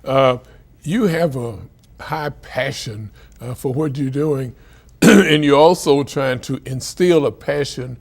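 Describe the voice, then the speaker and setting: A woman conducting a casual interview, asking halting questions and giving frequent murmured acknowledgements. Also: extremely low pitch, darkish tone, breathy edge.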